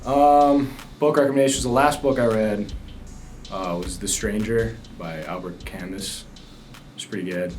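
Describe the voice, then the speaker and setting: A man's voice: on the low side, unhurried. Laid-back young man, music behind. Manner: laid-back, lots of likes, trails off quietly. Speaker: student interviewee. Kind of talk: casual street interview.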